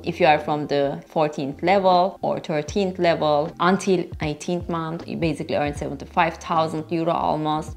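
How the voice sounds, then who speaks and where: A young woman from Turkey with a Turkish accent, explaining things casually and directly to viewers in a conversational vlog style. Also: lowish voice. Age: young adult. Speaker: vlogger and engineer. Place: living room, indoors.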